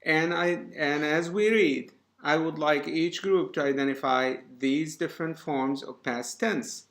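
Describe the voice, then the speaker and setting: A male teacher speaks slowly in a fairly high voice, instructing a class step by step. His manner is deliberate and encouraging, and the room echoes around him. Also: fairly bright tone. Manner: deliberate, encouraging, addresses students by name. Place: indoor room.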